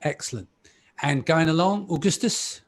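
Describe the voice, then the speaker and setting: A man hosting a live podcast panel, chatty and relaxed with frequent ums. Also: on the high side, moderate pace. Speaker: podcast host.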